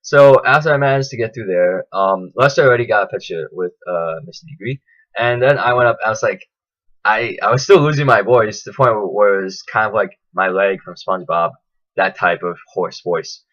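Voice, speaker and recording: A man telling a casual, enthusiastic vlog recap in a chatty, animated way. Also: on the low side, fairly quick, dull audio. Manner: animated, chatty, acts out quotes in raised voices. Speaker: vlogger.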